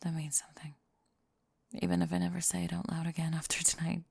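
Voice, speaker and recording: A woman playing a prickly but secretly affectionate tomboy friend in an ASMR roleplay, sleepy and often whispering, deadpan and grudgingly tender. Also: lowish voice, quick pace, creaky, breathy edge, no echo, voice pushed down, exaggerated voice. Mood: drowsy, tender. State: drowsy. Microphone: close ASMR microphone.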